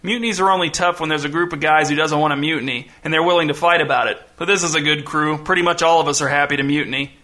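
A man's voice: fairly high pitch, fast, few pauses, bright, forceful tone. A man voicing a blunt, casual sailor in a story, a grumbler keen on mutiny. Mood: breezy, confident. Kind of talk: storybook character voice.

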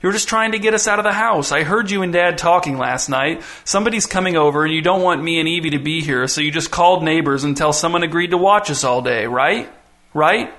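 Man voicing an indignant, high-pitched young girl. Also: lively intonation, fast talker, few pauses, bright, forceful tone, exaggerated voice. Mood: outraged. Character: indignant fifth-grade girl, questioning and stubborn.

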